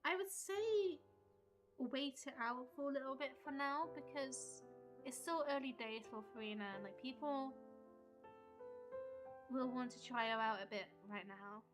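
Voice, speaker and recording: High-voiced woman live-streaming a game. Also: measured pace. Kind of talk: casual live-stream chat and game reactions. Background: game music.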